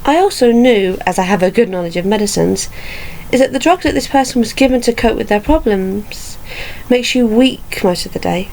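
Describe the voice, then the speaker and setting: A woman performing an ASMR roleplay as a vengeful, taunting vampire hunter, telling her tale deliberately with menacing pauses. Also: on the high side, a little mellow, slight background noise, low-quality recording.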